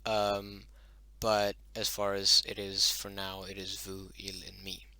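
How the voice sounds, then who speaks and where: A man whose first language is Dutch-derived talks through a lesson in loose, halting sentences full of ums and restarts. His voice sits fairly low but sounds very bright and forward. It comes across dry, with almost no room sound. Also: monotone, smooth, dry recording. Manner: hesitant, many ums and self-corrections. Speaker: hobbyist teaching his invented language. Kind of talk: casual spoken lesson.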